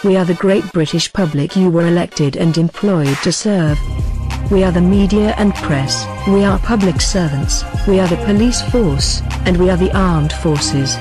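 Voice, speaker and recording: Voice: mid-range voice, normal speed. A synthetic-sounding voice of unclear sex delivers a scripted political address in a formal, solemn, declarative manner, as spokesperson for an activist group. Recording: voice over background music.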